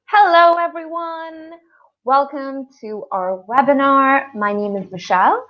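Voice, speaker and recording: A woman's voice: high voice, a little slow. A woman from Pakistan explaining things informally as a teacher in an online lesson, often rephrasing as she goes, over dull lo-fi call audio. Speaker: English teacher co-hosting a webinar.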